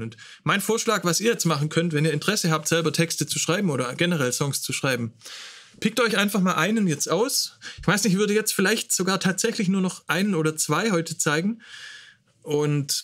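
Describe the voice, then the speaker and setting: A man's voice: a little high, fairly bright tone. A man speaking as a host in an informal, personal lecture, thinking aloud and correcting himself as he goes. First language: German. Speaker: host teaching songwriting.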